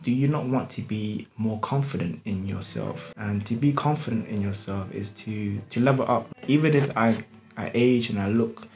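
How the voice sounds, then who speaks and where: A man's voice: lowish voice, normal speed, darkish tone. A young man, talking candidly in a casual podcast chat, swearing and using street slang.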